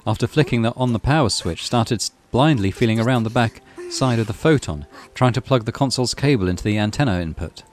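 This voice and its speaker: A man's voice: mid-range voice, animated, fast talker, hardly any pauses. A man narrating a visual novel aloud as a gaming channel host, in a dramatic storytelling style. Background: music.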